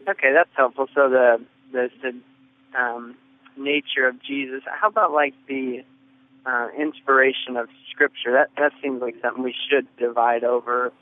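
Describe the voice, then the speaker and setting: A man's voice: medium pitch, steady tone, moderate pace. A man calling in to a radio show, asking a question hesitantly with fillers and restarts. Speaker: caller. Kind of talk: call-in question. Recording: tinny phone line.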